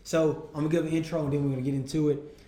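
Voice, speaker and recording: Hoarse-voiced man hosting a podcast. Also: fairly high pitch, little pitch movement, dark tone, echoey room. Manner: halting, repeats words when starting questions. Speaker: podcast host. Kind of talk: casual interview chat.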